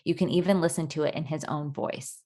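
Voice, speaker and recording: A woman's voice: low voice, a little fast, warm tone. A woman co-hosting a podcast, delivering scripted narration in a composed, structured way. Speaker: podcast co-host.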